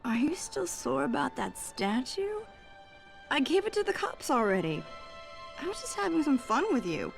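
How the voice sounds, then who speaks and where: A woman's voice: high-pitched, very animated, normal speed, gravelly, soft background music, heavily acted. An actress playing a playful, flirty female thief in a video game, teasing in a sly tone.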